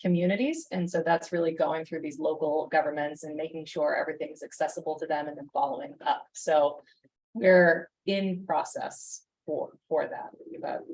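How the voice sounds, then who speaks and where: A woman's voice: low voice, fairly even intonation, moderate pace, a little mellow, husky, rough recording. A woman speaking as a panelist at a conference, explaining her work in a spontaneous, conversational way.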